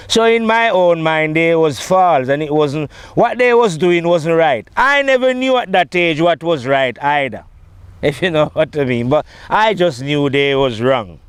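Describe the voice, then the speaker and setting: A man talks in a smooth, clear voice that sits a little high. He is middle-aged or older and rambles casually through his memories, often checking that the listener follows. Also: no room sound. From Jamaica. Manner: rambling, conversational, often checking in with "you know". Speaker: storyteller recounting his own life. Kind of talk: casual spoken reminiscence.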